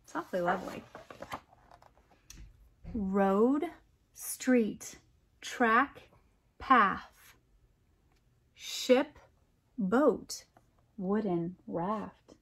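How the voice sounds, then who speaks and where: A middle-aged American woman reads a picture book aloud, adding expressive, wondering comments for young listeners. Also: mid-range voice, extremely slow, pauses often, slightly rough. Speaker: storytime reader and teacher.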